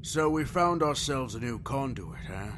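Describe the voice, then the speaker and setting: A man playing a calm, reasonable senior naval officer, deliberate and persuasive, in a sci-fi anime-style drama. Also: mid-range voice, background noise, natural voice. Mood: considered, approving. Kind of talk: sci-fi anime parody audio drama acting. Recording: loud guitar music behind.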